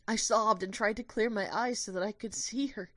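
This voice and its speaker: A woman playing an anguished, devoted young narrator in an audio drama, with intense, expressive delivery. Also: mid-range voice, moderate pace, no echo, clean studio sound, natural voice. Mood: anguished, desperate.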